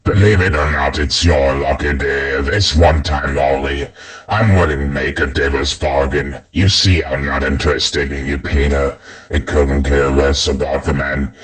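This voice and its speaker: A man voicing a gloating, murderous monster supervillain in a comic dub, raspy and menacing. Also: a bass voice, steady tone, exaggerated voice. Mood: menacing, taunting. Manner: menacing, drawn-out, taunting.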